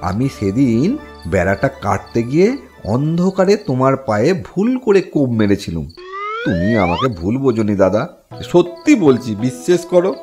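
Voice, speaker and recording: A man's voice: medium pitch, big pitch swings, moderate pace, a little mellow, soft background music, natural voice. A man playing a crafty, fearful village husband in a cartoon fairy tale, with a theatrical, wheedling manner.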